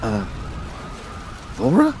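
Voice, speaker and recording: A man's voice: medium pitch, huge pitch swings, noisy background, heavily acted. A man acting a bewildered, stammering young man caught in a disaster in an audio drama. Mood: stunned, hesitant. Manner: halting, stammering.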